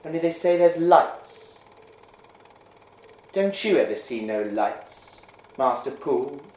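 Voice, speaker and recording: A man voicing a blunt, gossipy village blacksmith, low and plain-spoken, in a roomy echo. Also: expressive, slow, darkish tone. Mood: gossiping.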